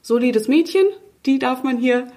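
A woman's voice: high-pitched, moderate pace, mellow, slight background noise. A 26-year-old woman from western Germany chatting casually as a podcast co-host, slangy and fond of acting out dialogue in her anecdotes. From Germany, Münster in western Germany. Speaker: podcast co-host, online editor. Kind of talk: casual chat, animated anecdotes.

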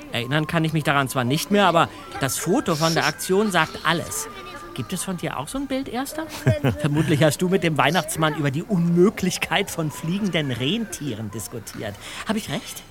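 A man's voice: fairly high pitch, sing-song, moderate pace, a bit bright. A teenage boy detective in an audio drama. He is exasperated and sarcastic, with a very mobile, exclamatory delivery over a music bed. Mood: wry. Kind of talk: German audio drama dialogue. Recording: music behind the voice.

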